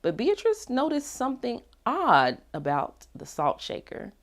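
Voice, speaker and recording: A woman reading a children's storybook aloud in an expressive, animated way with dramatic pauses. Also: fairly high pitch. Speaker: read-aloud narrator.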